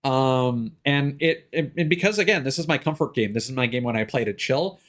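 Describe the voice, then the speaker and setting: A man's voice: mid-range voice, a little fast, a bit bright. Man chatting casually on a livestream. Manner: informal, profane, explanatory. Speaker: game streamer.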